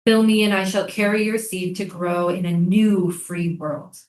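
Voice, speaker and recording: A woman's voice: mid-range voice, fairly even intonation, a little slow, darkish tone, lightly acted. A woman reading the part of a haunted, earnest female soldier and interrogator in a staged play. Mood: intimate. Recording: echoey room, distant from microphone.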